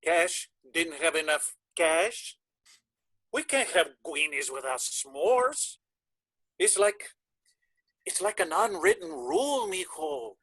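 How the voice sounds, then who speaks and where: A man's voice: fairly high pitch, sing-song, takes it slowly, bright, forceful tone, husky, no echo, full character voice. A man playing a flamboyant, teasing, fussy band guitarist in a screenplay table read. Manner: theatrical, playful, mock-haughty.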